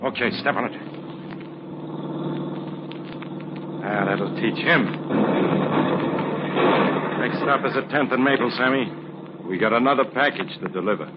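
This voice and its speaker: A man's voice: mid-range voice, normal speed, long pauses, close to an everyday voice. An actor playing a tough, coolly ruthless gangster henchman with slangy, ungrammatical speech in an old-time radio drama. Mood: coolly threatening. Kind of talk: 1940s radio crime drama acting. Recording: muffled, noisy old radio recording.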